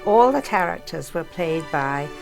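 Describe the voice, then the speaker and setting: A woman's voice: lowish voice, lively intonation, unhurried, gravelly, music in the background. A woman reading a scripted closing announcement as the credits announcer of a radio play.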